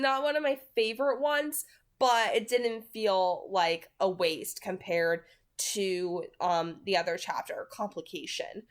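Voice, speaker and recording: A woman in her twenties who reads books aloud and talks about them. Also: fairly low pitch. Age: 20s. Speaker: reader and reviewer on a read-aloud channel. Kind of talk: audiobook-style reading, then casual book commentary.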